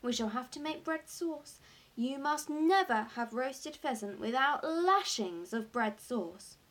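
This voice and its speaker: A woman voicing a jubilant, boastful father in a storybook, excited and grandly emphatic as key words are drawn out. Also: high-pitched, slow, pitched above the performer's own voice, heavily acted. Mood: grand, enthusiastic. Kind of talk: acted audiobook dialogue.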